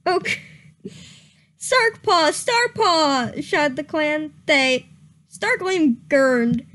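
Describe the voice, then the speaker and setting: Young woman streamer, high pitched and unhurried, doing a giggly mock-dramatic reading that trips over words. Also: expressive, a bit bright.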